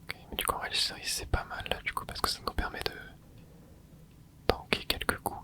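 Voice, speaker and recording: A man hosting an ASMR channel, whispering soft, casual commentary to himself as he plays a video game, full of gamer slang. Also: fairly quick. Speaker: ASMR gaming channel host.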